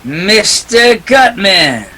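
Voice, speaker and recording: A man's voice: high-pitched, very animated, very slow, bright, forceful tone, full character voice. A man acting a wisecracking, cool private detective in a 1940s radio play, clipped and wry. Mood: cool, sardonic.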